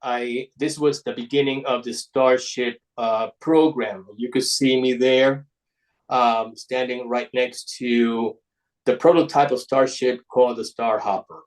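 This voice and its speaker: A man with an Ecuadorian Spanish accent giving an informal slide presentation, speaking haltingly and often restarting his words. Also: medium pitch, fairly even intonation, low-quality recording. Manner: halting, with repeated word restarts. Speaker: guest presenter, paper model designer.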